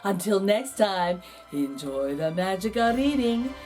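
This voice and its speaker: A woman reading a children's picture book aloud as host, theatrical and deliberate, with dramatic pauses. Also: medium pitch, animated, a little mellow, soft background music. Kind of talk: expressive storybook narration.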